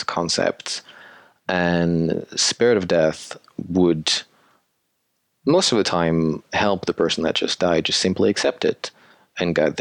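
A man with a low, gravelly voice talks through his ideas as a guest on a podcast. He wanders a little, restarting and filling pauses as he thinks. The sound is dry and close, with no room echo. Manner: thoughtful, rambling, with many fillers and restarts. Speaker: guest, creator of an audio drama. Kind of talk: casual interview talk.

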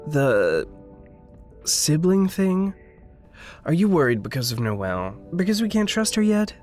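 An actor playing an earnest, guilt-driven young man in an audio drama, questioning and a little halting. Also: on the high side, big pitch swings, darkish tone, faint music behind. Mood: defensive, uneasy.

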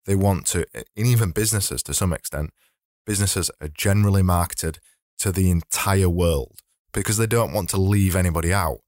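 A man hosting a casual solo podcast, his voice slightly hoarse and fading, rambling and correcting himself as he talks. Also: low-pitched, moderate pace, a little mellow, no room sound, studio quality. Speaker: podcast host.

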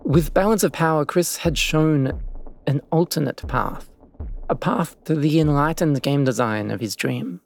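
A man's voice: on the high side, measured pace, vocal fry. A man reading scripted documentary narration in the role of narrator.

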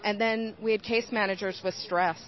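A woman's voice: mid-range voice, fairly even intonation, moderate pace. A woman giving earnest, explanatory interview answers in the manner of a trainer. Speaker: interview guest, whistleblower. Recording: dull, lo-fi sound.

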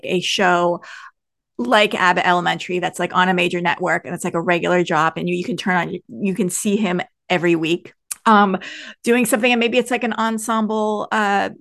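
Creaky-voiced woman hosting a chat podcast. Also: lowish voice, fairly even intonation, a little fast, fairly bright tone, dry recording, clean studio sound. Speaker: podcast host.

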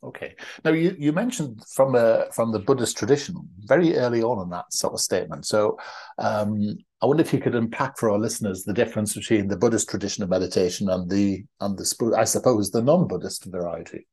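Husky man from asking questions. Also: on the low side, a little fast, mellow. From northeast England. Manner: chatty, rambling questions with many restarts. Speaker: podcast host. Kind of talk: casual interview.

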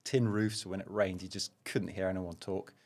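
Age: middle-aged. Sex: man. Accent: slight London. Pitch: very low. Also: level tone, moderate pace. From Zaire and England. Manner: anecdotal, self-deprecating, with frequent ums. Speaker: guest, vascular surgeon. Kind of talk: relaxed conversational storytelling.

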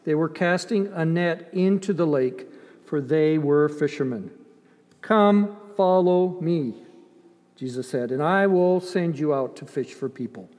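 A man's voice: fairly high pitch, measured pace, darkish tone. An older man who grew up in Ethiopia delivers a sermon in an emphatic yet conversational manner, with dramatized asides and rhetorical questions. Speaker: preacher. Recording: long hall reverb.

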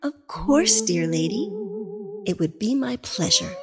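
A woman voicing a sweet, kind young daughter who speaks gently and politely. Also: a little high, wildly swooping pitch, unhurried, loud music behind the voice, exaggerated voice.

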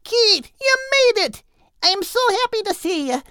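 Here is a sweet little female seagull from a storybook, played by a man. The voice is extremely high and leaps about in pitch, excitable and affectionate. It is captured close and dry. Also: a little slow, dry recording, exaggerated voice. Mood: excited.

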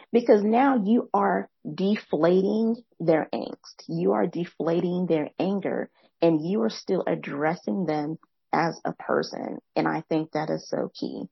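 A young adult woman hosting a podcast, speaking in a soft, soothing voice, reflective and quick, sometimes restarting her phrases. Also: on the low side, creaky, slightly rough, dry recording, muffled recording. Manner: fast, excited talker who pauses to rephrase. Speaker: podcast host. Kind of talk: reflective interview commentary.

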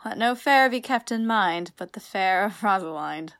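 A woman playing a spirited, sharp-tongued young noblewoman disguised as a youth in a Shakespearean radio play. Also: mid-range voice, expressive, moderate pace, dry recording. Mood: admiring, reciting.

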